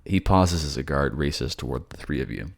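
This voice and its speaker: A man's voice: extremely low pitch, normal speed, gravelly. A man narrating a fantasy drama in the second person, with a dramatic storytelling delivery.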